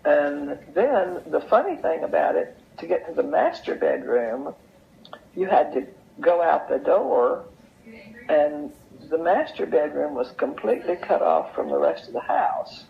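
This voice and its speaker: An older woman tells anecdotes in an unhurried, folksy way, repeating phrases for emphasis as an interview guest. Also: deep voice, very animated, vocal fry, slight background noise. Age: older, over 70. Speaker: interview guest sharing memories. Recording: dull, lo-fi sound.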